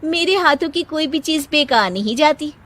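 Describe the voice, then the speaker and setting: A woman doing a boastful high-voiced young wife. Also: moderate pace. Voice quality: high, bright and excited. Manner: boastful, giggly, bossy. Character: boastful young wife, proud of her hands. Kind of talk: cartoon story voice acting.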